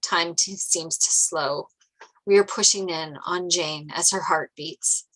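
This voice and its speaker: A woman's voice: on the low side, steady tone, slow pace, fairly bright tone, poor audio. A woman reading stage directions as narrator at a script table read.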